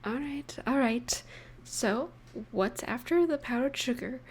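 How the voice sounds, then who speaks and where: A woman voicing an affectionate, playful partner in an intimate roleplay audio, speaking gently and teasingly to the listener with pauses. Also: high-pitched, breathy edge. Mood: teasing, fond.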